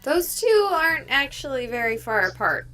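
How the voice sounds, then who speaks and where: Woman acting excitable, bright high-voiced young female streamer. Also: moderate pace, soft background music, heavily acted. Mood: puzzled. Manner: theatrical, emphatic. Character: excitable young woman streamer investigating a haunted hospital murder. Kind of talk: voiced game character dialogue.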